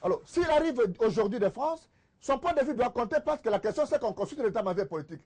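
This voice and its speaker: Fast, high-pitched Congolese man debating. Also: animated, a little mellow. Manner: insistent, emphatic, repeating phrases for stress. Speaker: debate panelist, political commentator. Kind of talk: heated political TV debate.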